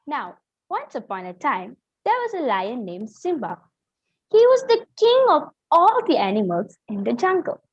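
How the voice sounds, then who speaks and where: A woman's voice: high voice, wildly swooping pitch, measured pace, breathy edge. A young female student narrating a children's story as scripted readers theater. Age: teenager or young adult student. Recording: slightly dull, muffled audio.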